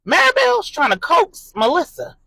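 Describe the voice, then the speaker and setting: A woman narrating an adult drama story in an expressive, suspenseful way and voicing the characters' dialogue. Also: extremely high pitch, moderate pace, no room sound.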